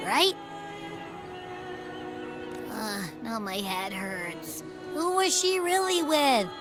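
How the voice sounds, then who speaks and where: Game companion, earnest young heroine. High, expressive, inquisitive delivery with music clearly behind. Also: female voice. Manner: expressive, questioning. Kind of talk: scripted game voice acting, expressive.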